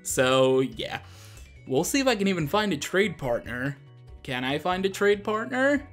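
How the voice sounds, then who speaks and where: A man's voice: high-pitched, very animated, unhurried, fairly bright tone. A man doing casual, chatty gameplay commentary as the host, often poking fun at himself. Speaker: gaming show host. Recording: music behind the voice. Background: video game music.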